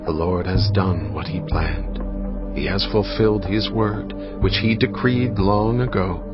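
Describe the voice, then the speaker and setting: A man narrating scripture in a solemn, measured, dramatic style. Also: deep voice, steady tone. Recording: muffled, with music behind. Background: music.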